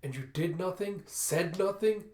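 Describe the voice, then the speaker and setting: A man's voice: on the high side, moderate pace, a bit bright, roomy sound, recorded from a distance, close to an everyday voice. A man voicing a bitter, protective mother fox, scolding and urgent, in a story read-through. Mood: angry scolding.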